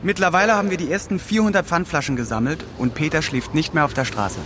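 An actor playing an earnest fundraising organizer, delivering formal progress reports in a radio drama. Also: male voice, fairly high pitch, fast, noisy background, close to an everyday voice. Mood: reporting. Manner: formal, report-like project jargon. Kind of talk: radio drama, scripted narration.

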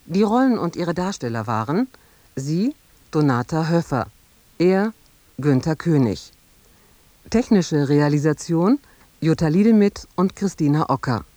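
A woman's voice: sits low, expressive, unhurried, pauses often, dark tone, no room sound. A woman radio announcer reading out scripted credits.

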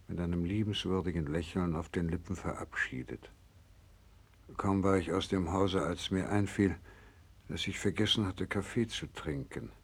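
An actor playing a grave, resolute doctor who survived a disaster, in a 1960s German radio play, solemn when narrating and commanding when giving orders. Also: male voice, deep voice, a little mellow, slightly rough, exaggerated voice. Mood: solemn, remembering. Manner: solemn measured narrating, urgent commanding in dialogue. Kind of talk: 1960s German radio drama acting, first-person narration.

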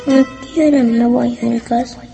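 A woman's voice: a little high, fairly even intonation, moderate pace, vocal fry, dark tone, faint music behind. A woman playing a timid, frightened little schoolboy with a plaintive, childlike way of talking in a radio drama. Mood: anxious, sad. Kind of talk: radio drama inner monologue.

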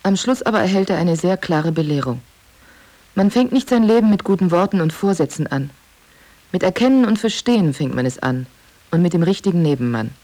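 Mellow-voiced woman reading scripted narration. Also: on the low side, lively intonation, a little fast. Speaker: narrator reading a book summary.

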